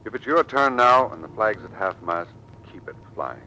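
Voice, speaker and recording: An actor playing a grave, stoic military husband in an old-time radio drama. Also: male voice, big pitch swings, moderate pace, bright, forceful tone, slight background noise. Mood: grave, tender. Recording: dull, faintly rumbling old radio recording.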